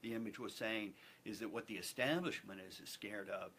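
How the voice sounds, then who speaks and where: A man speaking earnestly as an interview guest, with a deliberate, emphatic way of repeating phrases for effect. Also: fairly low pitch, a bit bright. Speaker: guest, theater company representative. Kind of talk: earnest panel interview talk. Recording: clearly noisy background.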